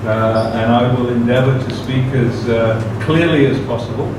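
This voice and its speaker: Guy giving a seminar talk, lots of uhs, steady mid voice, noisy background. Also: mid-range voice. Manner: measured, careful delivery with frequent "uh" fillers. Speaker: guest speaker at a university seminar. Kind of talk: formal conference talk. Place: university seminar room. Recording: very echoey room, distant mic, background noise.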